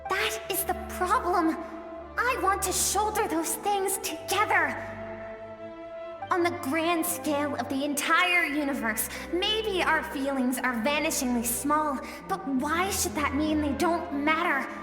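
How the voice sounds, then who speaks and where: A woman voicing a game character, the gentle suppressed emotional self of a swordswoman, speaking softly and earnestly with pleading warmth. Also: high voice, unhurried. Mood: pleading, impassioned. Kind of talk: video game voice acting. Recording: very echoey with long reverb, music behind.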